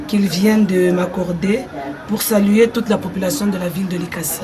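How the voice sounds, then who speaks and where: Hoarse woman, association president, formal polite answers, crowd noise behind. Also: mid-range voice. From Democratic Republic of the Congo. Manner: polite, formal when interviewed. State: hoarse from a cold. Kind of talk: visit chat and interview answers.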